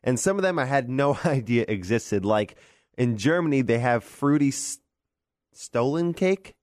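A man co-hosting a morning radio show, chatting casually in a relaxed, joking way. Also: medium pitch, measured pace, dry recording. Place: radio studio. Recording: dry studio mic.

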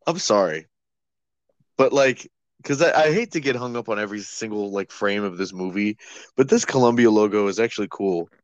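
A man's voice: on the low side, no room sound. Teasing young man over muffled call. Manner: teasing, quick to laugh. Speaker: podcast co-host. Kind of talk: casual chat.

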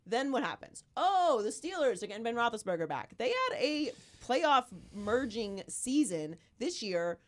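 A woman in her thirties hosting a casual sports podcast, her voice hoarse from illness, talking in an animated, emphatic way. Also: fairly high pitch, measured pace, few pauses. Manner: animated, emphatic, riffs with rising exclamations. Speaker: podcast host.

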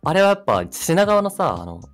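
A man's voice: sits high, very animated, a little fast, soft background music. A young man co-hosting a casual radio chat in an agreeable manner. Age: young adult. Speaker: radio show co-host.

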